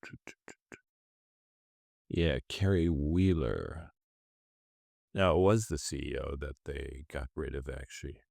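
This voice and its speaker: Very deep, slow, congested man. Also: gravelly, a little mellow, no echo. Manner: rambling, self-correcting, thinks aloud. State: congested from a cold. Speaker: stock trading channel host. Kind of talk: casual screen-share commentary on trades.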